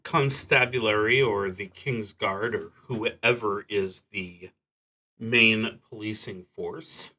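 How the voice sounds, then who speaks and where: A man streaming a solo tabletop roleplaying game, thinking aloud in improvised commentary with a hesitant, halting delivery that trails off mid-thought. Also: lowish voice, pushed and bright, rough recording.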